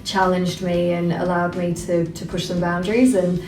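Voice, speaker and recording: A woman's voice: on the low side, little pitch movement, moderate pace, darkish tone. A woman who works as a recruiter, telling a personal story in a conversational way in an interview. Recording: roomy, distant, faint music under.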